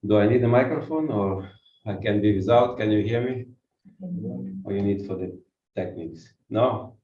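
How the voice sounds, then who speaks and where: A man's voice: fairly low pitch, moderate pace, slight background noise. A man from Ukraine with a Ukrainian accent, preaching a sermon and putting questions to the congregation. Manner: walks while preaching, asks the congregation questions. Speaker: guest pastor. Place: church hall. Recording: echoey church from far off, dull sound.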